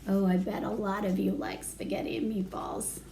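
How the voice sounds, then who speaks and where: A woman's voice: medium pitch, flat delivery, normal speed, dark tone, slight background noise, poor audio. A woman reading a picture book aloud to young children, with simple phrasing and asides to her listeners. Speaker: children's storytime reader.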